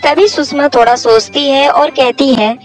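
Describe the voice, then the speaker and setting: A woman narrates a scripted children's bedtime tale. Her voice sits fairly high, and soft music plays behind it. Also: fairly even intonation, measured pace. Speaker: story narrator.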